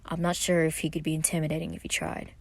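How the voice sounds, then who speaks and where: A woman's voice: deep voice, level tone, fast talker, no echo. Sardonic, gothic tall brother for a fiction podcast, played by a female reader: wry, exasperated, dry close sound. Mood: amused, wry. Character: tall, spooky, sardonic younger man, easily flustered brother.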